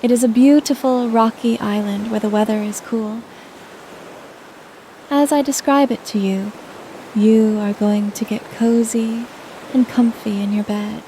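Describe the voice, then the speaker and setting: A woman narrating a scripted guided sleep meditation in a soothing, gentle manner. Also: on the high side, slight background noise, poor audio.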